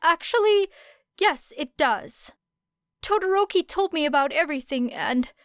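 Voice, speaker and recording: A woman's voice: sits high, animated, a little slow, dry recording, clean studio sound, voice pushed up. A woman voicing an earnest, hesitant teenage boy who stammers in an audiobook story. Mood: nervous, earnest.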